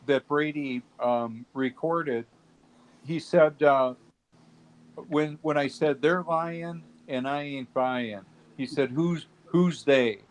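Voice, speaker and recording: A man's voice: a little high, takes it slowly, rough recording. A man on a casual video-call chat, telling a rambling story in an earnest way.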